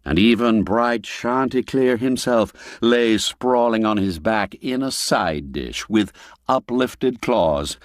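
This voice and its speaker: A man narrating a literary story in a measured, expressive storybook delivery. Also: medium pitch, no echo, studio quality. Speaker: audiobook narrator.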